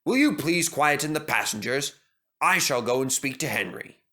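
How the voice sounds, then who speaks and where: A man's voice: medium pitch, lively intonation, normal speed, pushed and bright. A man voicing a pompous, stern but fatherly railway boss with a formal, authoritative manner in a children's story. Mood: exasperated, firm.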